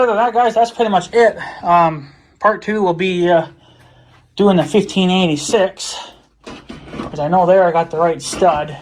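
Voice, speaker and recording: A man with a slightly high voice talks casually to his viewers while working on a hands-on project. He rambles and thinks aloud, in a folksy, informal way. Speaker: vlogger. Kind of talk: casual hands-on DIY vlog talk to viewers.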